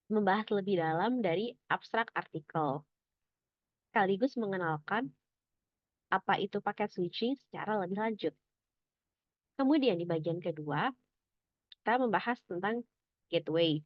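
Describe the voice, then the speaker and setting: A young adult woman presents academic material like a student reading from notes. Her delivery is measured and explanatory, with some halting. The sound is dry and slightly dull, with a lo-fi finish. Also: medium pitch, no room sound.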